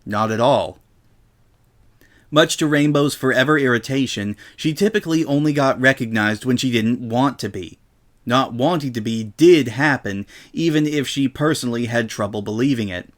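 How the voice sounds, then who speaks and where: A man's voice: mid-range voice, moderate pace. A man reading a scripted comic story aloud as its narrator, in dramatic storytelling style.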